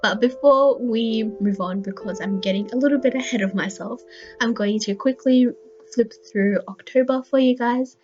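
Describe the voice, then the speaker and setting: Slightly croaky woman narrating a craft vlog. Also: fairly high pitch, moderate pace, a little mellow, lo-fi sound. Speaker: bullet journal video creator. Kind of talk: voice-over narration of a crafting video. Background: faint background music.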